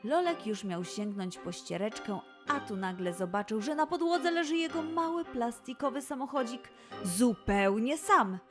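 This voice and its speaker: A woman narrating a scripted children's story with an expressive, dramatised delivery. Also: a little high, normal speed, music in the background.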